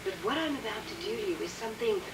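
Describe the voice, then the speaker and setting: A woman playing a solemn, fearful doctor in a radio drama, halting, dramatic and intense. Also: on the high side, animated. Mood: solemn, hesitant. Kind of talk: theatrical radio drama acting. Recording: noisy, echoey, distant radio recording.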